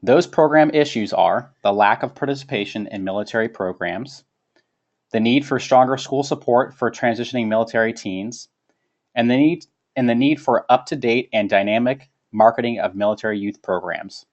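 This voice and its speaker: Male webinar presenter, formal scripted read, mid-pitched voice on muffled lo-fi audio. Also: normal speed.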